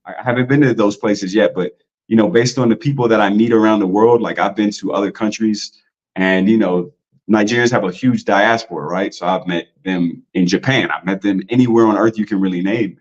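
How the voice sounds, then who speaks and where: A man's voice: lowish voice, brisk, dull audio. A man in a casual podcast talk, loose and slangy, full of "like" and "you know". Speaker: podcast guest.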